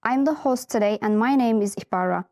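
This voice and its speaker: Mellow-voiced woman host. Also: a little high, fairly quick. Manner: polite, welcoming, hesitant fillers. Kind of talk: moderating an info session.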